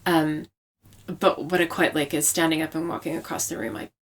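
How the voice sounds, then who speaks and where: A woman's voice: low-pitched, level tone, a little fast. A woman speaking as a novelist in an interview, explaining her craft conversationally and repeating phrases for emphasis. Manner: explanatory, with emphatic repetition for effect.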